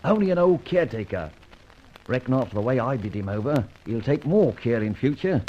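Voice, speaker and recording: A man's voice: medium pitch, very animated, brisk, dark tone, natural voice. An actor playing a male detective who poses as a boastful small-time crook, theatrical and wry in old-time radio detective style. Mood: boastful, cocky. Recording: muffled, hissy old radio recording.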